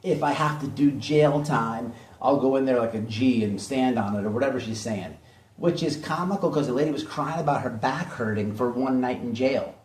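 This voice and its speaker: A man's voice: mid-range voice, normal speed, darkish tone, a little hiss or hum. Blunt man in an echoey room, distant mic. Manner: blunt, mocking, emphatic repetition. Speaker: online commentary host. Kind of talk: opinionated drama commentary.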